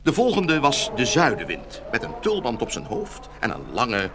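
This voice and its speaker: Very animated male fairy-tale narrator. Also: mid-range voice, moderate pace, a crowd in the background. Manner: expressive storytelling.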